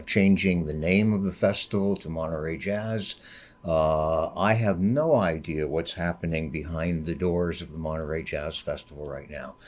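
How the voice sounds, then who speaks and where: An older man with a deep, resonant radio voice, a public radio host chatting casually, unhurried and full of fillers. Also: a little mellow. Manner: unhurried, many uh fillers, jokey. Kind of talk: casual radio studio chat.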